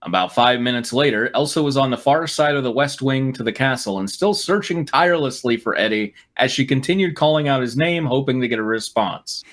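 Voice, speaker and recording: A man narrates a story aloud in a middle-pitched voice. He plays up the drama a little, then drops into casual, wry commentary. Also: animated, a little fast, few pauses, a bit bright. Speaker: reader and riffing co-host. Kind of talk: comic read-aloud of fan fiction with asides.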